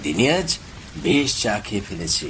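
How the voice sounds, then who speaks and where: Man as a polite, expressive village schoolmaster. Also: on the low side, normal speed. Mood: friendly. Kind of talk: Bengali horror cartoon voice acting. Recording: rain and thunder noise behind.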